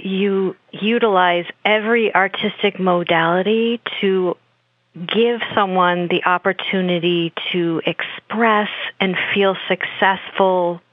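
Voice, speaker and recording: A woman's voice: fairly low pitch, no echo. A woman hosting a podcast interview, asking questions and giving enthusiastic praise. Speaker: podcast host. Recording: phone-line audio, dry.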